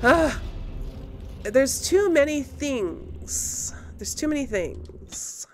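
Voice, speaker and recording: A woman hosting a casual let's play, thinking aloud and reacting as she plays, with game music loud underneath. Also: high voice, animated, very slow, slight background noise. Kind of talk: casual live gameplay commentary.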